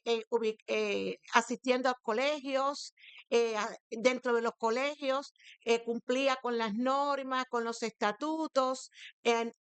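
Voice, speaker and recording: Very clear, high-voiced Cuban woman in her sixties. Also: a bit bright, no room sound. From Santiago de Cuba, eastern Cuba. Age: 60s. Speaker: guest, teacher and education academic. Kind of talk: interview answers. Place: radio studio.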